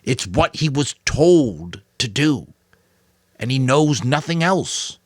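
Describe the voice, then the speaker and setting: Guy doing a tired wise old man, real slow and grave, firm but kind, audiobook drama. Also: medium pitch, sing-song, no room sound, clean studio sound, voice pushed up. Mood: solemn insistence. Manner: firm, solemn, deliberate. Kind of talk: dramatic audiobook character reading.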